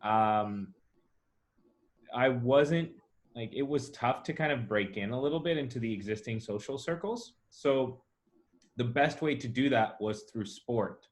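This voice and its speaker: A man's voice: medium pitch, measured pace. Man reflectively telling his own story. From born in Chile, raised in the US, Chile and China. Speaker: interviewee, soccer club founder. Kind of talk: casual personal storytelling.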